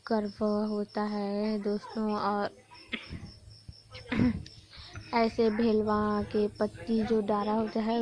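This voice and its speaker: A young woman from Chhattisgarh, hoarse from a sore throat, chatting casually to her viewers in a home vlog. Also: medium pitch, dark tone, a little hiss or hum. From Chhattisgarh, India. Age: young adult. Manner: chatty, keeps addressing viewers as friends. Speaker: vlogger. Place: village home during a festival.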